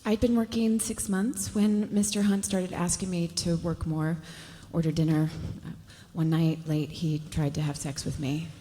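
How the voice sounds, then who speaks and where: A woman's voice: fairly low pitch, level tone, moderate pace, few pauses, warm tone, close to an everyday voice. Woman playing guarded, wounded witness in echoing hall. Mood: uneasy. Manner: defensive. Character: guarded, wounded female witness, defensive under questioning. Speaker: actor. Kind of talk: live table read of a TV legal drama. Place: festival stage in a large hall. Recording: hall reverb with faint noise, live stage.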